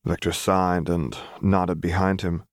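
Guy narrating a story, low voice, really dry close recording. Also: flat delivery, measured pace, no room sound. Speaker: audiobook narrator. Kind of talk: scripted fiction narration.

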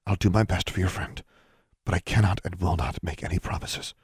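A man voicing a stern, guarded woman in an audiobook, speaking in a hard, hushed, curt and commanding way. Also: sits low, steady tone, a little fast, husky, dry recording, clean studio sound. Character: stern, guarded adult elder sister, a woman doctor.

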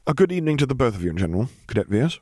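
A man voicing a prim, unflappable naval captain with sly wit in an audiobook, measured and understated. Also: mid-range voice, very animated, a little mellow, husky, no echo, studio quality, pitched above the performer's own voice.

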